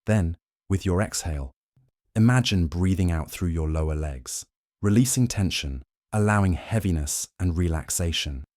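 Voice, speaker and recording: A man reading a scripted guided sleep meditation in a slow, soothing, measured way. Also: sits low, darkish tone, dry recording, studio quality.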